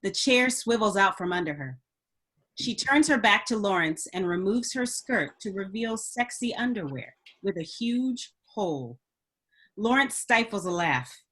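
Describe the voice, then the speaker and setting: A woman narrator reads out scene headings and action from a screenplay. Her voice is of medium pitch, in the scripted style of a table read. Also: lively intonation, measured pace, a bit bright, husky. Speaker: narrator reading stage directions.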